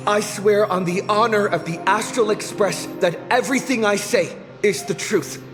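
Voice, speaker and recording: An actor playing an earnest young male hero in a video game, with a hesitant, deliberate way of talking. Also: high-pitched, over music, natural voice. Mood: earnest, determined.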